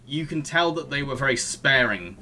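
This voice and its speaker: Bright-voiced man discussing films casually. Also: medium pitch, expressive, normal speed. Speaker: podcast host.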